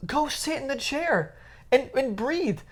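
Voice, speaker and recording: A woman's voice: high voice, animated, unhurried. A woman co-hosting a podcast, chatting casually and giving opinions in an emphatic, teasing way. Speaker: podcast co-host.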